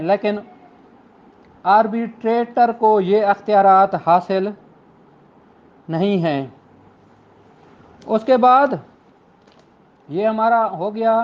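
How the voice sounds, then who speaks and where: A man's voice: high-pitched, dull audio. A man with a Pakistani accent lecturing law students in an earnest, rhetorical way, repeating phrases for emphasis.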